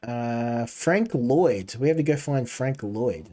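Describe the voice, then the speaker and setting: A man's voice: medium pitch, expressive, slow, warm tone. A man streaming a game, chatting casually and rambling while talking to his chat. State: coming down with a cold.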